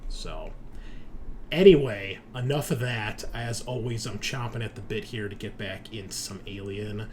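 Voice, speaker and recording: A man's voice: lowish voice, unhurried, darkish tone. A man hosting in a casual, chatty and joking way, as game master on an online call. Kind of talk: casual podcast introduction. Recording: noticeable background noise.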